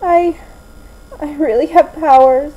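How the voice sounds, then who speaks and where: Lady doing a solemn anime schoolgirl, high soft mellow voice, really unhurried, kinda gloomy. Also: dull audio. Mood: sad, pleading. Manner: slow, deliberate, solemn delivery. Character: gloomy, soft-spoken teenage anime schoolgirl with eerie powers. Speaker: amateur voice actor auditioning. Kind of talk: anime fan dub audition, acted lines.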